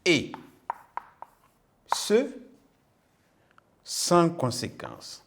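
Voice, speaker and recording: Guy teaching history, creaky lowish voice, dictates and repeats stuff, bit of background noise. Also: huge pitch swings, drawn-out words. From Burkina Faso. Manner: didactic, repeats phrases, frequent check-in tags. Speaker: middle school history teacher. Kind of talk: lesson with slow dictation.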